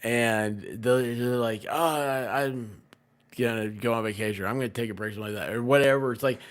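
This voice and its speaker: A man talking casually as a live streamer, telling stories with big swings between loud and quiet. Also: medium pitch, fairly even intonation, brisk. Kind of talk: casual chat on a livestream.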